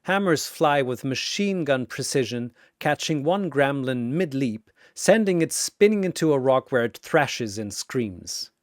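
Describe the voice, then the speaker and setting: A man tells an action story theatrically, in short suspenseful phrases. His delivery is slow with lively swings in pitch, and the recording is dry and close. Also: dry recording. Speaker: storyteller, narrator. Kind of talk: dramatic scripted action story narration.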